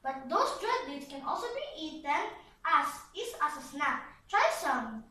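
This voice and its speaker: Very high-pitched, bright young boy puppet, played by a woman. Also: sing-song, measured pace, heavily acted. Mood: earnest, explaining. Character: young boy puppets, polite, eager, explaining friends. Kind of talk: scripted puppet show dialogue. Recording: roomy echo, slightly distant mic.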